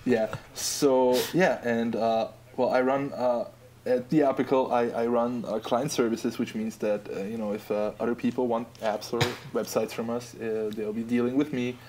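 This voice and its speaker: A man's voice: mid-range voice, fairly even intonation, moderate pace, background noise. A man in his twenties from Austria, with an Austrian accent, chatting as a podcast guest in a laid-back, joking, rambling way. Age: 20s. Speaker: podcast guest, app designer. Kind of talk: casual podcast chat.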